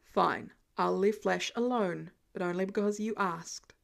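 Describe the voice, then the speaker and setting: Woman as a sullen, haughty teenage boy, lowered pitch. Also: a little slow, creaky. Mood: grudging. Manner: clipped, disdainful grumbling.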